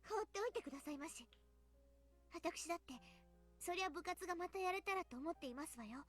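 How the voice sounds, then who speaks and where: A woman's voice: very high-pitched, expressive, very fast, soft background music. An actress voicing a small, proud girl in a game, speaking in prim, overly polite phrasing. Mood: pleading. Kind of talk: anime game voice acting.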